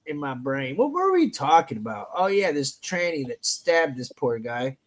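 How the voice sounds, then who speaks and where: A man with a high voice hosts a live online chat, his throat scratchy and sore. He talks casually and crudely, swears a lot and flares into loud outbursts. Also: big pitch swings, normal speed. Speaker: livestream host.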